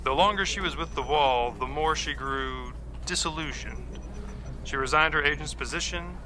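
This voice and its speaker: A man's voice: on the high side, moderate pace, pushed and bright, slight background noise. A man playing a stuffy, formal agent who briefs in dry, precise language in an audio drama, with music behind.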